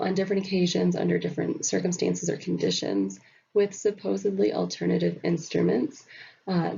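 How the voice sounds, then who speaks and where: A woman talks through her slides in a nasal voice, her throat still rough from a recent illness. Her tone is low and mellow, with a husky, gravelly edge. The recording sounds dull and muffled. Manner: many fillers, explanatory. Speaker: student presenter.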